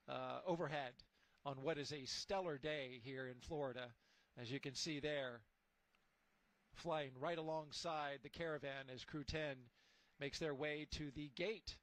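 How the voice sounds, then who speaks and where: A man calls a live launch broadcast in a relaxed, conversational tone and keeps it upbeat. His voice sits somewhat higher than most men's. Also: no echo, poor audio. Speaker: launch commentator.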